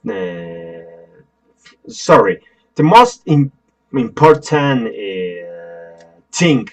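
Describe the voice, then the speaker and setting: A man with a Spanish accent, a native Spanish speaker, giving a software tutorial in a halting, apologetic way with many fillers and restarts. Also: mid-range voice, rough recording. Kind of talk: screen-recorded tutorial.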